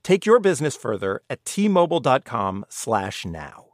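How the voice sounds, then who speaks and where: A man's voice: medium pitch, sing-song, moderate pace, dry recording. Dry studio male corporate announcer. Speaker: advert announcer. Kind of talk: scripted corporate ad read.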